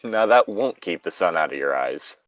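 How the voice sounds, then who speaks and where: A man's voice: low voice, expressive, normal speed, crowd noise. A man co-hosting a story reading show, reading aloud and chatting casually with joking, wry asides. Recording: dry, slightly dull lo-fi mic.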